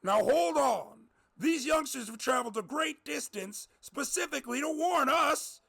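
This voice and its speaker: Man putting on a high, earnest old-fashioned idealist. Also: lively intonation, measured pace, creaky, a bit bright, heavily acted. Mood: urgent protest. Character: earnest old-fashioned idealist movie-come-to-life, speechifying protester.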